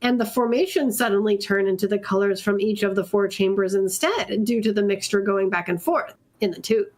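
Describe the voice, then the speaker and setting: A woman's voice: medium pitch, fairly quick, darkish tone. A woman narrating fan fiction aloud for laughs in an amused, theatrical storytelling way. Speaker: narrator in a comedy reading group.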